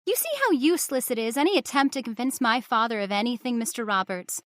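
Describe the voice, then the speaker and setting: A woman's voice: a little high, fast talker, dry recording, clean studio sound, lightly acted. An actress playing a young engaged woman who argues earnestly with her stubborn father, in old-time radio drama style. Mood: uneasy. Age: young adult. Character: young woman, engaged, frightened but spirited daughter.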